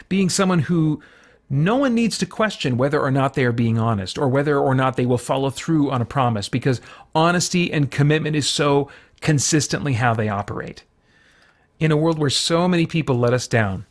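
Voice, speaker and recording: A man hosting an informal Bible study for an online audience, talking in a casual, halting way as he thinks aloud with frequent asides. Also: mid-range voice. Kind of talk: informal sermon, conversational Bible teaching.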